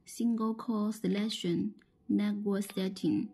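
A woman with a Chinese accent giving a halting, step-by-step instructional product demonstration, often repeating phrases. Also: medium pitch, steady tone, mellow, slightly rough, rough recording.

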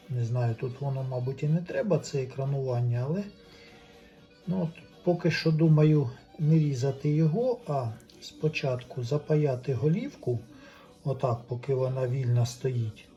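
A man gives casual step-by-step repair commentary as a hobbyist, thinking aloud in an unhurried, tentative way. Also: medium pitch, steady tone, warm tone, muffled recording, soft background music. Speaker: hobbyist repairer presenting a DIY video.

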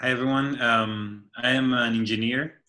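A man in his thirties from Argentina, an engineer, speaking thoughtfully on a video call and pausing to search for words. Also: mid-range voice, fairly even intonation, pushed and bright. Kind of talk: casual video call chat. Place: home room by a window. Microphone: laptop webcam on a video call.

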